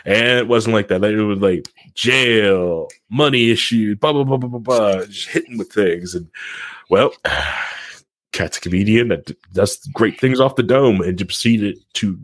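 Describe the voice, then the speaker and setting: A man's voice: lowish voice, measured pace, dry recording. A man co-hosting a podcast with informal, joking banter. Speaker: podcast co-host. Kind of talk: casual banter.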